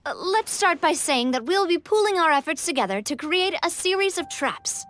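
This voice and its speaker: High, bubbly, theatrical showgirl. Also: expressive, normal speed, a bit bright, dry recording. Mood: playful. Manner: showy, playful, chatty. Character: bubbly, theatrical young woman who loves the spotlight. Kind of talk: video game voice acting.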